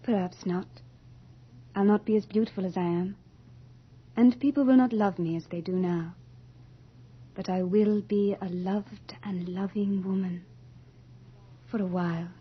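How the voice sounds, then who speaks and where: An actress playing a young, beautiful female Death in a radio horror drama, theatrical and deliberate, capricious and longing to be mortal. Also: medium pitch, steady tone. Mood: eager, yearning. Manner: theatrical, deliberate, breathy at moments. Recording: dull old radio drama with music.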